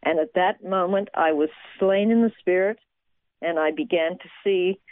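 A middle-aged woman from Australia, a guest telling her faith story earnestly with many fillers and pauses. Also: fairly low pitch, dry recording. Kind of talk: personal testimony interview. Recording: tinny phone-like sound, dry.

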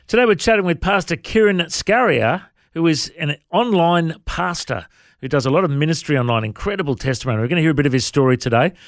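A man's voice: fairly high pitch, lively intonation, quick pace, dry recording, clean studio sound. An Australian man hosting an interview show, introducing his guest and asking questions in a chatty way. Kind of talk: interview chat.

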